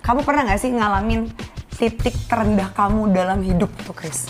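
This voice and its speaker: A woman hosts a relaxed interview chat, firing off questions at a quick clip and nudging her guest along with eager follow-ups. Music plays clearly underneath her voice. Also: mid-range voice. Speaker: talk show host. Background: background music.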